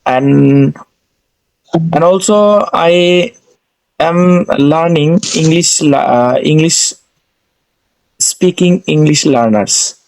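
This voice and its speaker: A man's voice: a little high, drawn-out words, creaky, long pauses, darkish tone, low-quality recording. A man with an Indian accent leading a casual English practice conversation as a learner, hesitant and often repeating his phrases.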